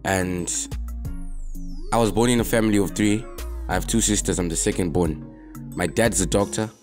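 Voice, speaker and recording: Young rapper, low-pitched and easygoing, talking straight to camera over soft music. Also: male voice, moderate pace. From Zimbabwe. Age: young adult. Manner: relaxed, laid-back, drops in "man" often. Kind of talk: casual talk to camera answering questions.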